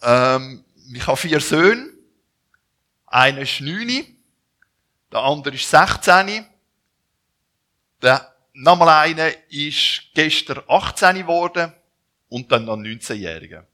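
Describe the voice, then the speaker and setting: Zurich-accented man, bright halting delivery. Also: on the high side, lively intonation. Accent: Zurich Swiss German. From Zurich, Switzerland. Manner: halting, with restarts and self-corrections. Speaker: missionary speaker. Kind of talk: personal introduction to a church audience.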